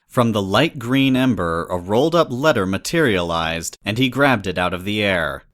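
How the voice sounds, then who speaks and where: A man's voice: on the low side, lively intonation, moderate pace, a bit bright. A man narrating a scripted story as a storybook reader.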